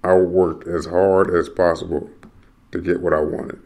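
A young American man with a very low voice hosts his own podcast alone. He rambles restlessly, circles back over the same phrases and swears now and then as he rants. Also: level tone, unhurried, a little mellow. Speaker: podcast host. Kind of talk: rambling solo monologue.

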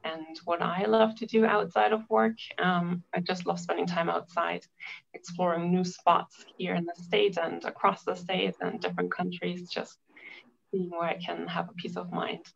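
A woman physician from Germany introducing herself on a clinic panel, measured and full of "um" fillers. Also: lowish voice, level tone, vocal fry, muffled recording, crowd noise.

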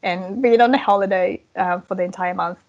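A woman's voice: medium pitch, brisk, muffled recording. A woman in her thirties from southern Ukraine, a native speaker of Russian and Ukrainian, talking informally as an interview guest over a video call. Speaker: podcast guest, language coach. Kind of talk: casual interview chat. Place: indoor room, video call. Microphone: earphone headset on a video call.

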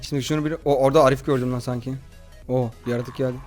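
A man hosting a horror game playthrough in slangy, excitable, casual chat, often addressing his viewers. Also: mid-range voice, fast, faint music behind. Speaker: gaming video host.

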